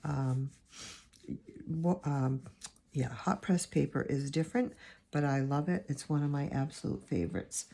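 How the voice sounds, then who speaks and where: A woman with a low, gravelly voice, hoarse and raspy from allergies, talks through a watercolor tutorial. Her tone is dark and mellow. She rambles and thinks aloud as she paints, into a phone camera. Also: measured pace. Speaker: watercolor teacher.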